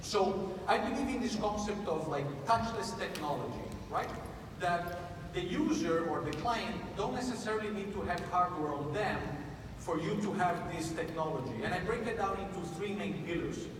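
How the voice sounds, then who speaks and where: A man giving a conference keynote, talking in a conversational, energetic pitch with frequent restarts, in a large conference room. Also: normal speed. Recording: echoey hall from far off, noisy.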